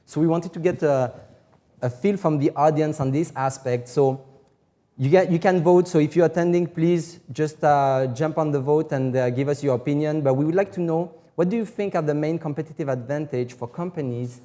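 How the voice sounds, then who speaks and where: A man's voice: fairly high pitch, normal speed, dark tone. A man moderating a live webinar, upbeat and welcoming, inviting the audience to jump in with questions. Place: company headquarters office.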